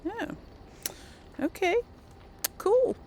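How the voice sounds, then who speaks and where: A woman's voice: very high voice, animated, drawn-out words, mellow, slight background noise. A woman hosting a gaming video, giving casual commentary and lightly role-playing dialogue. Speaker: gamer, video host.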